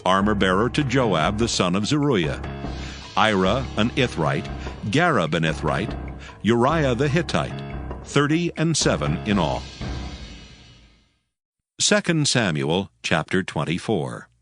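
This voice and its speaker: A man's voice: lowish voice, sing-song, unhurried, long pauses. A man narrating dramatized scripture in a solemn, declamatory storytelling manner. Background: music.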